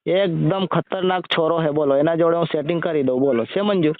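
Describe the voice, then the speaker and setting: Man, Gujarati accent, high-pitched, colloquial phone chat, repeats questions, lo-fi close dry sound. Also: fairly quick, no echo. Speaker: amateur video creator on a phone call. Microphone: recorded phone call. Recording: muffled lo-fi phone call recording.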